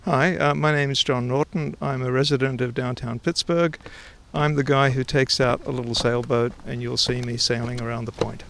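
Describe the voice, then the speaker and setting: A man's voice: mid-range voice, steady tone, moderate pace, creaky, a bit bright, slight background noise. An Australian man in his forties, talking casually and eagerly about river sailing outdoors at a dock, often restarting his sentences. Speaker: interviewee, river sailor.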